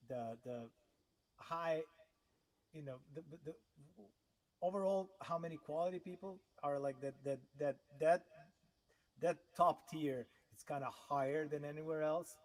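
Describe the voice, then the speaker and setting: A man from Serbia, a native Serbian speaker, hosting a casual livestream chat in a halting way, with many restarts and fillers. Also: fairly high pitch, poor audio. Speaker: host.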